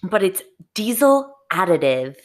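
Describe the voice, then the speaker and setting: A woman's voice: medium pitch, big pitch swings, unhurried. A woman co-hosting a casual podcast about auto service work, talking informally with salty language. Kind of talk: casual chat.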